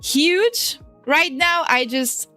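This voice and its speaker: A young woman with a bright, high voice and a Portuguese accent chats loosely through a live stream. She rambles, laughs a lot and swears offhandedly. Quiet music plays underneath. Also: expressive, very slow. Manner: chatty and rambling, laughs often, swears casually. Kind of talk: casual livestream chat while drawing. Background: faint music.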